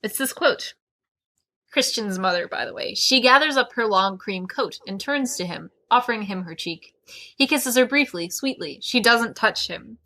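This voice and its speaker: A woman's voice: a little high, expressive, normal speed, sharp tone. A woman co-hosting a podcast, chatting casually about a book in a wry, opinionated way. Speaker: podcast co-host.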